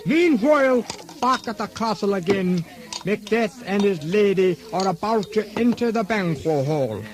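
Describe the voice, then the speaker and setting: A man's voice: high voice, expressive, measured pace. A man narrating a comic radio drama in a mock-grand storytelling style.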